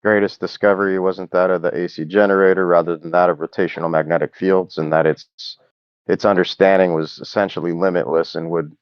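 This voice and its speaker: Deep-voiced man, curt and insistent. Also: flat delivery, fairly quick, clean tone, no room sound. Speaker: debater on a call. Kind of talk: explaining in an online debate. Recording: dry, muffled call audio.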